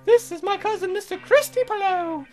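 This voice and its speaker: A man voicing a courteous foreign naval officer in a very high falsetto with a put-on accent and formal, polite phrasing. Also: normal speed, faint music behind. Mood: courtly.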